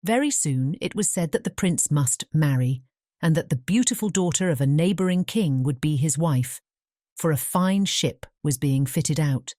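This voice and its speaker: A woman narrates a storybook in a British accent. Her voice is notably low and soft-edged, dark in tone. She tells it in a measured way, recorded very dry. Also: expressive, no room sound. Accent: British English. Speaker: audiobook narrator. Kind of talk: scripted fairy tale narration.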